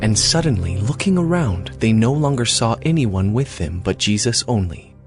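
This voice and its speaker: A man's voice: lowish voice, lively intonation, normal speed. Male Bible narrator over music. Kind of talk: scripted dramatized scripture narration. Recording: music bed under the voice. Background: background music.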